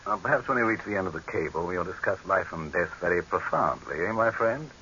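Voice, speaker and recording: A hissy, muffled vintage radio recording of an imperious dictator. His voice is low and forceful, with a condescending, self-important manner in the theatrical style of thirties horror drama. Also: expressive, brisk. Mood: haughty, amused. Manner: pompous, condescending, commanding. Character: pompous, imperious male dictator, coolly amused, easily irritated.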